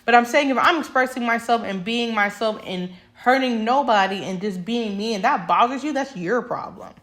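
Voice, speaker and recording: A woman's voice: on the high side, moderate pace, fairly bright tone. A young woman talking straight to camera in a passionate, emphatic monologue that rambles with restarts. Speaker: vlogger.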